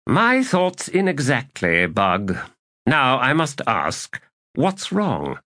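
A man's voice: medium pitch, wildly swooping pitch, slow pace, bright, forceful tone, dry recording, clean studio sound, pitched above the performer's own voice, heavily acted. A man voicing a whimsical, self-satisfied young king in an audiobook, with florid, mock-pompous phrasing. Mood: playful, theatrical. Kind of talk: audiobook character dialogue.

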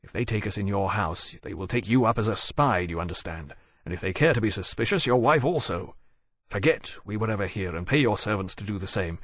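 A man voicing a stern officer who speaks low, sharp and urgent. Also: brisk, no echo. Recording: dry close mic.

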